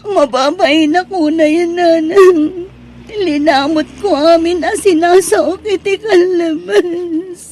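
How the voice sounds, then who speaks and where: A woman's voice: very high voice, slow pace, darkish tone. A woman playing a troubled, ashamed young single mother in an Ilocano radio drama, plaintive and pleading. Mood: tearful shame. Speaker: actor in a radio comedy drama.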